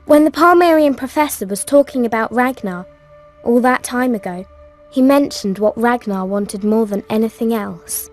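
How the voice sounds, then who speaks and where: A woman voicing a brave, quick-witted, polite young girl in a video game cutscene, speaking earnestly and courteously. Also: on the high side, soft background music, close to an everyday voice. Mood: curious.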